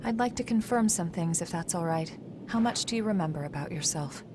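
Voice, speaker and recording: A woman playing a calm, caring medic in a video game cutscene, speaking in a gentle, reassuring, clinical way. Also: lowish voice, fairly quick, background noise, natural voice. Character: calm, caring female medic tending a revived immortal soldier. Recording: music loud behind the voice. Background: loud game music.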